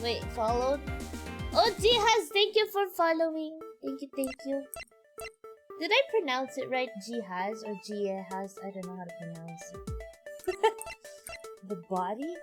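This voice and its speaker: High-voiced woman streaming, music behind. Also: sing-song, a little slow, long pauses. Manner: giggly, reactive, exclaims often. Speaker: game streamer. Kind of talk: casual livestream chat and game commentary. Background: game music.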